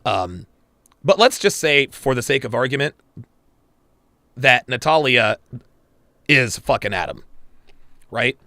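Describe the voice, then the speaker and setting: A man's voice: medium pitch, very animated, slow, pauses often, sharp tone, no room sound, studio quality. A man hosting a live reaction stream, blunt and crude, swearing and talking to his chat viewers. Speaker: podcast host reacting to a clip.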